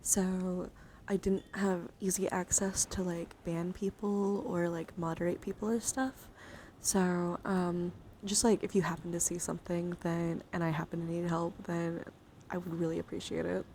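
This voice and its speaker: Soft-spoken woman streamer, often whispering, noisy background. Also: lowish voice, level tone, normal speed. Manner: chatty, informal, addresses viewers directly. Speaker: livestreamer hosting a card deck stream. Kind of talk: ASMR, casual live chat with viewers.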